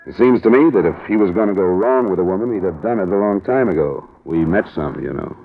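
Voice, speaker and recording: A hardboiled private eye in an old radio drama. His voice is very low and he talks in terse, understated, probing lines. The sound is muffled, like a vintage broadcast. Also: animated, fast talker, a little hiss or hum. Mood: steady, matter-of-fact. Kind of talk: 1950s radio drama acting with first-person narration.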